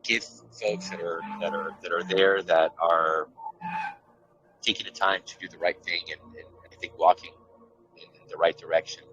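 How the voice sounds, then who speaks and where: A man's voice: sits low, level tone, normal speed, dull audio, noisy background. A man from a project team speaking earnestly over a call, often repeating words as he goes.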